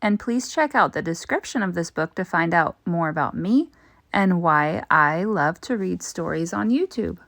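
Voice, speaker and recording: A woman, a teacher, reading a children's picture book aloud in an expressive storytelling style. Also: on the low side, normal speed, gravelly, dry recording, studio quality.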